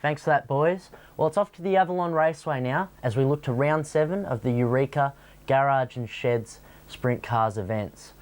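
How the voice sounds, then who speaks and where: A man's voice: medium pitch, moderate pace. Young Australian man interviewing for sport. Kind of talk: local TV interview.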